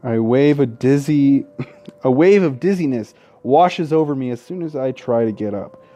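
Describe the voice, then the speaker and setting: A man's voice: mid-range voice, lively intonation, normal speed, mellow. A man reading a visual novel aloud as a gaming host, tripping over words, correcting himself and dropping casual asides and swearing. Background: faint game music.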